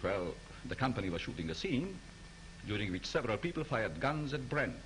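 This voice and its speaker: An actor playing a commanding studio manager in a 1930s film, giving brisk orders. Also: male voice, medium pitch, big pitch swings, fairly bright tone, rough recording. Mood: measured. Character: authoritative male studio manager, brisk and in control. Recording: hissy 1930s film soundtrack.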